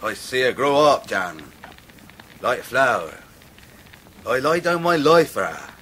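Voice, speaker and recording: A man's voice: mid-range voice, sing-song, a little slow, pushed and bright, slight background noise, low-quality recording. An actor playing a bashful, devoted young fisherman with an English rustic dialect in a radio drama. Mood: earnest, devoted. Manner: earnest, simple-hearted. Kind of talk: full-cast radio drama acting.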